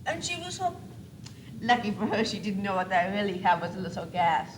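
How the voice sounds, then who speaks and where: A woman's voice: mid-range voice, sing-song, fairly quick, natural voice. A female student actor playing a haughty four-month-old baby boy doll with a put-on French accent in a stage comedy. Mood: smug, storytelling. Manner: pompous, theatrical. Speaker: student actor in a school play. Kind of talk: stage one-act comedy acting. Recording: echoey, noisy 1985 school video tape.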